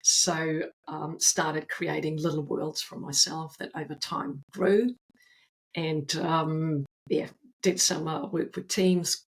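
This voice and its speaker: Creaky-voiced Austrian woman with a mixed accent. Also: sits low, a bit bright, low-quality recording. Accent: mixed Austrian, Danish and New Zealand. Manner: chatty, self-deprecating, many fillers. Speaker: guest, agile coach and author. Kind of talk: casual podcast conversation.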